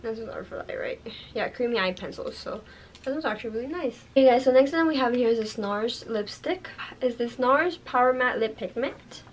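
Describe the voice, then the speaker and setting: Chatty young woman, a bit hoarse. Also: fairly high pitch, expressive, a little fast. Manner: chatty, lots of "like" and "you guys". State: losing her voice. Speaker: beauty vlogger. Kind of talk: casual unboxing review. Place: bedroom with makeup shelves, indoors.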